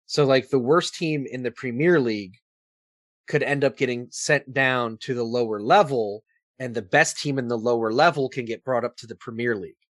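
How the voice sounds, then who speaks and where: A man's voice: mid-range voice, moderate pace, a bit bright, no room sound. An American man co-hosting a casual sports podcast, opinionated and fond of putting rhetorical questions.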